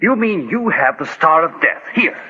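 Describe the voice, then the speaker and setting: A man's voice: fairly high pitch, lively intonation, normal speed, bright, forceful tone, husky, exaggerated voice. A man playing an urbane, inquisitive society dinner guest in a 1940s radio drama, with old-fashioned theatrical speech. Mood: intrigued. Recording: tinny phone-like 1940s radio recording.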